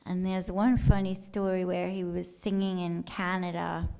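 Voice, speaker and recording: A woman's voice: fairly low pitch, little pitch movement, moderate pace, creaky, a little mellow, clean tone. A woman artist giving a casual, unscripted talk to an audience, rambling and joking in an offhand, colloquial way. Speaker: artist giving a talk about their work. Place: live talk in front of an audience.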